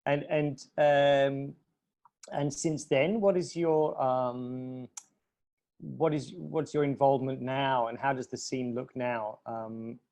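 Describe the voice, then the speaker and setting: A man's voice: mid-range voice, fairly even intonation, slow pace, creaky, darkish tone. Male host, native English speaker, easygoing and chatty. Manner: friendly, chatty, uses fillers. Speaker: podcast host. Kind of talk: casual podcast interview.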